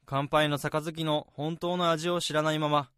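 A man playing a reflective salaryman musing in a measured radio drama monologue, with music behind. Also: a little high, level tone, fairly bright tone, clean tone, no room sound, clean studio sound. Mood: wistful, reflective. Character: wistful male salaryman narrator musing on a departed colleague.

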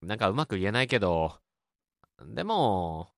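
A man playing a gentle, encouraging junior friend in a radio drama, speaking casually and politely with thoughtful hesitation. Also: on the low side, sing-song, moderate pace, gravelly, no room sound, studio quality. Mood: earnest, reassuring. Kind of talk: amateur radio drama dialogue.

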